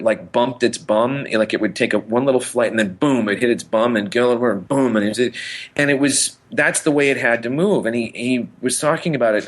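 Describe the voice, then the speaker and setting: A man's voice: medium pitch, fairly bright tone. A man co-hosting a podcast, chatting casually in a rambling, musing way and circling back on his phrases. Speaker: podcast co-host. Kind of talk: casual pop-culture chat.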